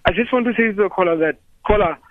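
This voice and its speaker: A man's voice: high-pitched, fast talker, no echo. Flustered South African-born man on phone. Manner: flustered, keeps correcting himself. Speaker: caller. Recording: tinny phone line.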